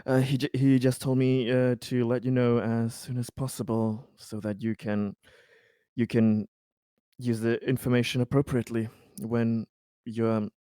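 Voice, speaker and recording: A man in a casual tabletop roleplay session, recorded dry and close. His delivery is hesitant and halting, with phrases that trail off, at a middling pitch. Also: flat delivery, warm tone, clear, resonant tone, dry recording. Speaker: tabletop roleplaying game player.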